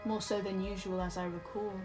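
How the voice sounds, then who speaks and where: An actress playing an eerily calm, taunting young woman in an audio drama, with a measured, needling manner. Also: medium pitch, lightly acted. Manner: measured, cryptic, needling questions. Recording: music behind.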